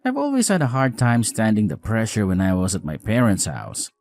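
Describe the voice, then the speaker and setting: A man playing a weary, apologetic newlywed husband in a scripted audio drama, earnest, expressive, caring and frank. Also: lowish voice, brisk. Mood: reassuring.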